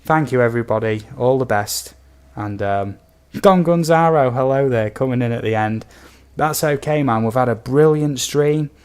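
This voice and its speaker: A man from the UK with a slightly croaky voice, chatting casually and easily to viewers as a livestream host. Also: medium pitch, moderate pace, hardly any pauses, a little mellow. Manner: easygoing, chatty, addresses viewers as buddy and guys. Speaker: game streamer, host.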